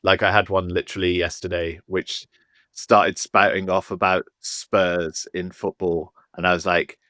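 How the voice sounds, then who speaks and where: A man from England co-hosts a casual podcast. He talks easygoing, with stammering restarts. His voice sits very low, recorded dry and close. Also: little pitch movement, measured pace, sharp tone, dry recording. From England, not London.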